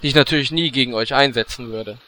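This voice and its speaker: A man's voice: medium pitch, quick pace, sharp tone, slight background noise, natural voice. A man playing a cheeky, lewd, scheming little gnome in a comic audio drama, brash and impudent.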